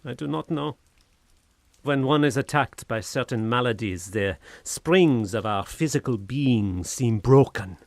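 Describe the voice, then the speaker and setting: A man's voice: medium pitch, expressive, measured pace, no echo. An actor playing a tormented, feverish Frenchman slipping into madness in an audio horror drama, with brooding, formal, theatrical diction. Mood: despairing, weary.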